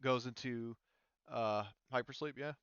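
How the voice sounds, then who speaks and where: A man narrating a tabletop roleplaying game casually as game master, haltingly thinking aloud as he goes. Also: medium pitch, fairly bright tone, clean tone, dry recording, highs cut off. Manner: halting, thinking aloud while checking rules.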